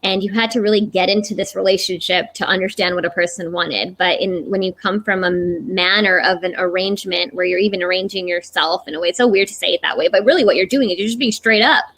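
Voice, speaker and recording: A woman's voice: mid-range voice, fast, hardly any pauses. Chatty woman hosting a casual chat. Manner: chatty, animated, laughs often. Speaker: host.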